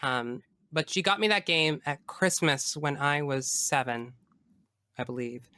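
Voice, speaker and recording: A woman's voice: low voice, measured pace, pushed and bright, dry recording, clean studio sound. A young woman chatting casually and emphatically as a guest in an interview. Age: young adult. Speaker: podcast guest. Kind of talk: casual interview chat about video games.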